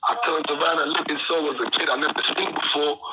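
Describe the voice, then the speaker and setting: A man's voice: fairly high pitch, fairly even intonation, quick pace, very noisy. A man from Trinidad telling his story over a phone line in a rambling, street-slang way. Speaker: incarcerated guest on the phone. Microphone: phone call.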